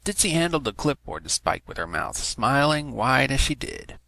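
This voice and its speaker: A man's voice: medium pitch, very animated, normal speed, creaky, bright, forceful tone, no room sound, low-quality recording. A man giving a scripted narration of a story as an amateur reader. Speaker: amateur fan fiction reader.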